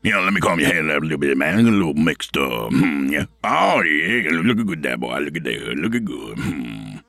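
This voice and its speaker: A man's voice: a bass voice, expressive, moderate pace, vocal fry, sharp tone, no echo, clean studio sound, pitched below the performer's own voice, exaggerated voice. A man voicing a half-crazy old male hermit who rambles in garbled mumbles and breaks into sudden squeals. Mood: mumbling.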